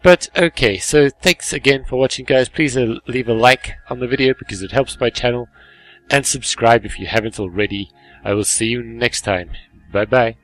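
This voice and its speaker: A man's voice: mid-range voice, normal speed, fairly bright tone, rough recording. A man gives a casual let's play commentary in a mid-range voice, with music playing behind him. He is chatty and easily amused, talking to viewers as a gaming host. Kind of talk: casual let's play commentary, reading game dialogue aloud. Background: game music.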